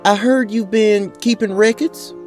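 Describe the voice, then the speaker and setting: A man's voice: high voice, steady tone, a little slow, darkish tone, faint music behind, pitched above the performer's own voice. A man voicing a polite, curious adventuring woman in a fantasy audio drama, earnest and inquiring.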